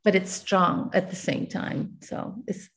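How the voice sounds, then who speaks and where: A woman in her forties from Chile, an artist reflecting in a relaxed interview over a video call, laughing often. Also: lowish voice, unhurried.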